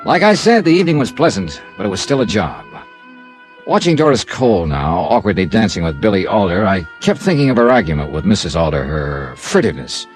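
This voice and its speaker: A man's voice: sits low, big pitch swings, fairly quick, soft background music. An actor playing a wry, self-assured male investigator, dry and clipped, in classic radio detective drama. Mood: matter-of-fact. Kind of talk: classic radio detective acting and first-person narration.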